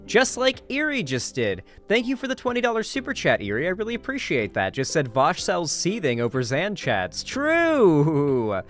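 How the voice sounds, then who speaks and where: A man hosting a live stream, chatting casually and informally with his viewers in an animated way. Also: fairly high pitch, moderate pace, few pauses, faint music behind. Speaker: livestream host.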